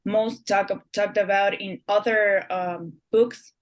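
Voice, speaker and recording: A woman's voice: medium pitch, fairly even intonation, slow. A young woman from Ecuador, a guest author answering interview questions in a chatty way, with many fillers and restarted words.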